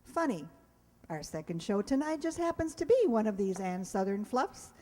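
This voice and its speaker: A woman's voice: medium pitch, big pitch swings, moderate pace, dark tone. A woman hosting, giving a scripted spoken introduction to a show. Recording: reverberant hall recording.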